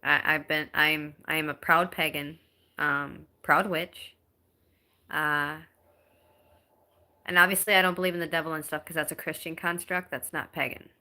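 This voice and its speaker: Bright-voiced chatty woman in her thirties. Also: sits low, steady tone. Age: 30s. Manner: chatty and halting, with many ums and restarts. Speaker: live streamer. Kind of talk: casual live-stream chat reading viewer comments.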